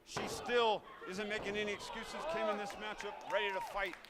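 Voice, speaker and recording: An American man giving earnest live wrestling color commentary, often halting and repeating his phrases. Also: sits high, steady tone. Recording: loud music behind, live event. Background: loud music at a live wrestling event.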